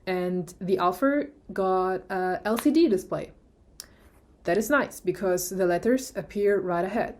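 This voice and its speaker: A woman's voice: fairly low pitch, a little mellow. A young woman with a German accent, whose first language is German, chatting casually in a product review video, with many fillers and restarts. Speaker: vlogger.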